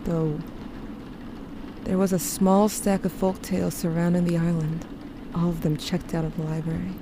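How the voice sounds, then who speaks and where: Woman as low-voiced, guarded, grieving teen narrator. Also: flat delivery, normal speed, noisy background, lightly acted. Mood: wry, matter-of-fact. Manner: introspective, confessional, wry. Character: guilt-haunted, guarded teenage narrator grieving a dying loved one. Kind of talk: first-person audio drama narration.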